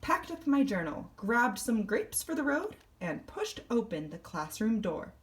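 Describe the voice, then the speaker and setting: A woman voicing a self-important, theatrical male class pet lizard in a children's storybook. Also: fairly low pitch. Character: vain, dramatic male class pet lizard journaling his adventures. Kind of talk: first-person children's storybook read-aloud.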